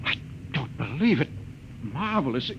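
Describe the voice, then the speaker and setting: An actor playing an earnest, polite but excitable male archaeologist in a 1950s radio drama. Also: medium pitch, unhurried, vocal fry. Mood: amazed, insistent. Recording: dull, noisy 1950 radio recording.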